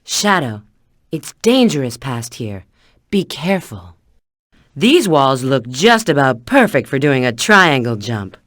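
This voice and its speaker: A cool, flirty female bat treasure hunter from a video game. She gives knowing instructions in a very lively, swooping delivery. The sound is close and dry. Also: very deep voice, a little slow, dry recording, heavily acted. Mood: cool, instructive. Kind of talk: video game character voice acting.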